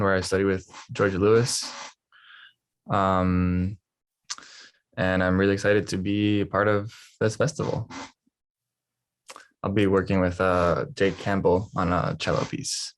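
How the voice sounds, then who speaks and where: A young drummer and composer introducing himself in a relaxed, matter-of-fact way. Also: male voice, low voice, unhurried, smooth.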